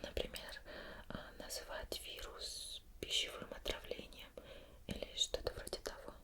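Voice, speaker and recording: A woman doing an ASMR roleplay as an interviewer, putting questions slowly and gently in a formal, deliberate way with pauses.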